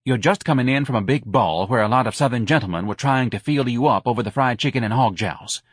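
A man's voice: mid-range voice, fast, slightly rough, dry recording, studio quality, lightly acted. A man voicing a hard, scheming woman who runs a bar, curt and browbeating, in an audiobook. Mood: coaxing, bossy.

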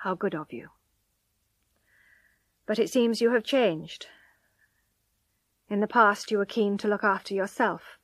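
A woman reading the lines of a cold, distant young husband in a firm, measured way. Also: medium pitch, fairly bright tone, no echo. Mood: bitter.

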